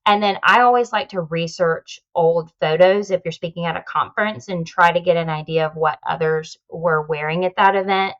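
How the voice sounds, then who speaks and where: Woman guest expert, lowish voice, polished advice-giving tone, slightly muffled remote audio. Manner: polished, confident, instructive. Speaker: guest speaker on public speaking. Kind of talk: conversational podcast advice.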